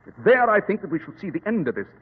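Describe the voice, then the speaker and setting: An actor playing a brisk, self-assured male detective in an old-time radio drama, with precise, formal, condescending diction. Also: high-pitched, very animated, phone-quality sound, very noisy. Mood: explaining. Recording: echoey, band-limited old radio recording.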